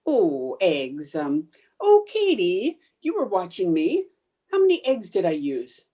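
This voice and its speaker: A scatterbrained but stubborn housewife played by an actress in an old radio comedy. The voice is mellow and swings widely in pitch, with cheerful, theatrical emphasis. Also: female voice, wildly swooping pitch, slow pace, exaggerated voice. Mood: flustered.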